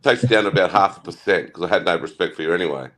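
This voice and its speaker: A man's voice: sits low, rapid-fire, crowd noise. A man who served in the navy, bantering bluntly and crudely on a casual call, teasing his friends without mercy. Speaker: guest, navy veteran.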